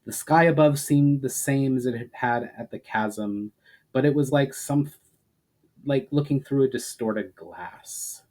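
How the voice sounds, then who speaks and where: A man reading fantasy fiction aloud as a dramatic narrator, in a measured delivery with the odd stumble. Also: mid-range voice, a little mellow. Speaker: author reading own story.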